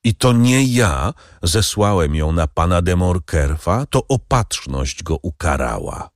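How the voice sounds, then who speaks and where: A man's voice: deep voice, very animated, unhurried, no echo, clean studio sound, full character voice. A man voicing a coldly ironic, vengeful middle-aged nobleman.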